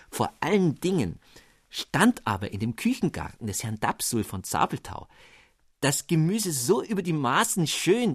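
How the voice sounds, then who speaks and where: A man's voice: medium pitch, breathy edge, dry recording, clean studio sound. A man narrating a classic fairy tale for radio, telling it expressively and addressing the listener directly. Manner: expressive storytelling, addressing the reader. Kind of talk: scripted literary narration.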